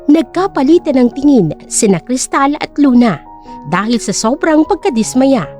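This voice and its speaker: Babaeng tagapagsalaysay ng audio drama. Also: female voice, high voice, expressive, normal speed, dark tone, faint music behind. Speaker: narrator. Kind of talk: scripted dramatic story narration.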